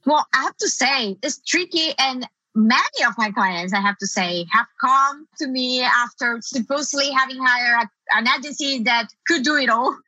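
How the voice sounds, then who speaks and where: A woman's voice: sits high, normal speed, bright, forceful tone, dry recording, poor audio. A woman from Latin America with a Spanish accent, a native Spanish speaker, explaining things emphatically as an interview guest. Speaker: guest SEO consultant. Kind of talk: conversational interview answers.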